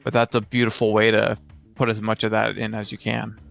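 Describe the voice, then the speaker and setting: A man's voice: on the low side, monotone, fairly quick, creaky, fairly bright tone, muffled recording, soft background music. A man chatting casually as a tabletop game player, explaining his thoughts in a considered way. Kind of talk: casual chat.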